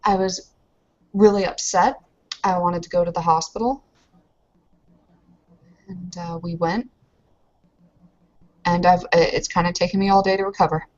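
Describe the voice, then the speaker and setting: An earnest, shaken young woman character from a tabletop game , played by a woman. She speaks haltingly in a fairly low voice. The sound is dull and lo-fi. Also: fairly even intonation, a little mellow, close to an everyday voice. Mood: upset, defensive.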